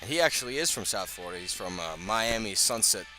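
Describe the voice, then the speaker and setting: A man doing live radio baseball play-by-play, speaking in measured short phrases with pauses between them. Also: lowish voice, lively intonation, bright, forceful tone, soft background music, low-quality recording. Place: outdoors at a ballpark.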